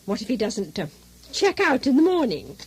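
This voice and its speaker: A woman's voice: a little high, wildly swooping pitch, moderate pace, husky, full character voice. A woman acting a scheming, sardonic, bossy wife in a comic radio drama, wry and commanding. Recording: hissy, noisy vintage radio drama recording.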